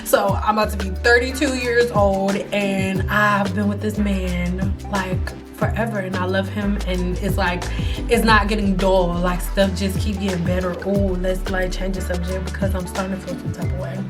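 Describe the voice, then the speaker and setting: A woman in her early thirties telling life stories casually to camera, animated and slangy, acting out the people she quotes. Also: medium pitch. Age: 30s. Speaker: hairstylist vlogger.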